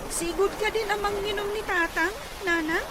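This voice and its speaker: A woman's voice: very high-pitched, fairly even intonation, moderate pace. A woman playing a young daughter who is ashamed of her drunk father, pleading in a radio drama. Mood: troubled. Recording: noisy radio recording.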